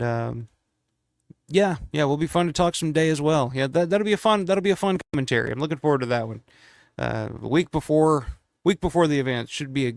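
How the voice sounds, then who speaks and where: Stuffy-nosed guy hosting a live stream, rambly with lots of ums, smooth voice, dry close sound. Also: fairly high pitch, no echo. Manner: rambling, many fillers and restarts. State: congested, losing his voice. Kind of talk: casual live stream chat.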